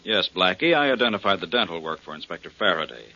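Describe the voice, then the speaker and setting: A man's voice: low-pitched, big pitch swings, quick pace, very noisy. A man playing a mild, hesitant dentist who explains things politely in a 1940s radio drama. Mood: earnest, hesitant. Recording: muffled 1940s radio recording.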